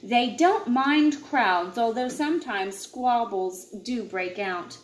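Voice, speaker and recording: A woman in her thirties reading a children's nature book aloud at home in an expressive way, with asides to young listeners. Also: a little high, takes it slowly. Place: indoors, open living room and kitchen at home. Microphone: camera a short distance away. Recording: echoey room with clear background noise.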